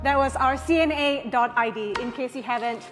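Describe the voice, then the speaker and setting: A woman's voice: sits high, moderate pace. A woman whose first language is Indonesian, hosting a conference on stage in a formal, polished announcing manner. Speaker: event emcee. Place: large conference hall. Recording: hall reverb, music and crowd.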